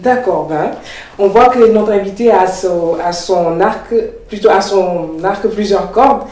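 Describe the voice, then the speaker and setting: A polite interviewer sums up answers and asks brisk questions. The voice sounds distant from the mic. The room adds a clear echo. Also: medium pitch, darkish tone.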